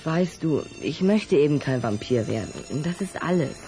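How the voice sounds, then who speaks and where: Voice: mid-range voice, lively intonation, normal speed, mellow, faint music behind, lightly acted. Earnest young boy played by an actor. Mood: hesitant, gentle. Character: earnest, brave, shy young human boy. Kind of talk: children's radio play acting.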